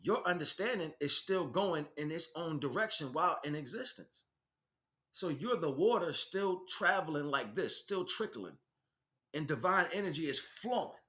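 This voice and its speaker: Bright-voiced man giving an emphatic spiritual lecture. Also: fairly high pitch, animated, normal speed. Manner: emphatic, preaching with repeated phrases and rhetorical questions. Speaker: spiritual teacher.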